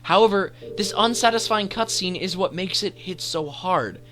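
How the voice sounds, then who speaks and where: Bright, high-pitched man narrating a countdown. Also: moderate pace. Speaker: video essay host. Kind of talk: enthusiastic scripted countdown narration. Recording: voice-over with background music. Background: music.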